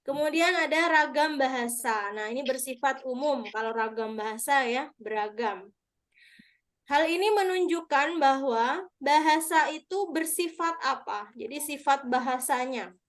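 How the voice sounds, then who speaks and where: High-voiced woman teacher explaining on a call. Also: unhurried, fairly bright tone. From Madura. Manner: explains patiently, checks answers with participants. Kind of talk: online exam review lesson.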